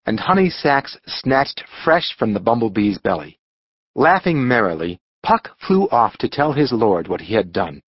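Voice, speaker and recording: Male audiobook narrator, husky, lively intonation, dramatic storytelling, dry close sound, slightly dull recording. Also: mid-range voice, no echo.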